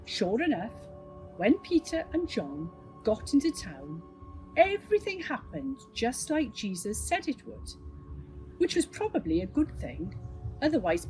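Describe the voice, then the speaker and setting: Female children's story reader. Lightly high, very varied pitch, gentle pacing over faint music. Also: big pitch swings, a little slow, poor audio. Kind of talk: expressive children's story reading.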